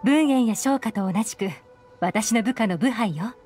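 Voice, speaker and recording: An actress voicing a cool, shrewd young woman who runs an intelligence network in a video game, composed, deliberate and knowing. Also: a little high, a little mellow, natural voice. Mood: calm, assured. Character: cool, shrewd female intelligence operative and boss. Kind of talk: Japanese video game voice acting. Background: background game music.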